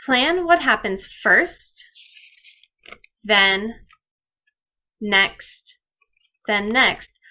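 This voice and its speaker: A woman's voice: on the high side, bright, forceful tone. A woman speaking as an elementary teacher, giving children step-by-step instructions in a video lesson. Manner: clear step-by-step instructing, addressing pupils directly.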